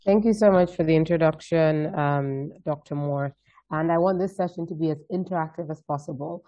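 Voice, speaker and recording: A woman's voice: low-pitched, brisk, warm tone. A woman in her thirties from Denmark, giving an upbeat, direct webinar talk and often checking in with "right?". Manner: upbeat, direct, frequent tag questions. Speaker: guest speaker. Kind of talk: webinar presentation. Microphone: video call. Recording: dull lo-fi call audio.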